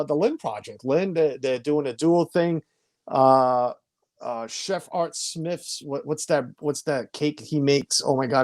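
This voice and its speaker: A man's voice: medium pitch, a little mellow, dry recording. A man in his forties hosting a casual live stream from home, chatty, stumbling over names and reading viewer comments aloud. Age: 40s. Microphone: home streaming setup.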